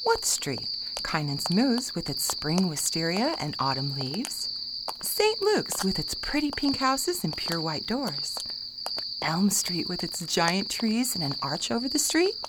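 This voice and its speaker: A woman's voice: lowish voice, big pitch swings, a little slow. A woman playing a prim, curious young Victorian lady engaged to be married, in a radio drama. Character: genteel young Victorian fiancée, curious and proper. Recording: noisy night ambience with crickets.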